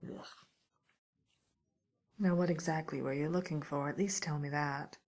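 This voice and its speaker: A woman playing a wry, teasing witch who scolds an intruder in an ASMR roleplay, speaking softly and often in a whisper. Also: low-pitched, fairly even intonation, a little slow. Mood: mock-stern. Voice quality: soft, breathy, often whispered. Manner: teasing, musing, mock-stern, theatrical.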